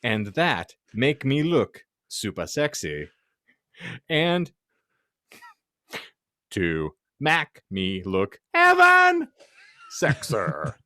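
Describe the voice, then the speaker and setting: A man's voice: medium pitch, huge pitch swings, very slow, long pauses, sharp tone, dry recording. A man hosting a podcast, giving a comic dramatic reading of fan fiction in a theatrical way and often close to laughing. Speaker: podcast host.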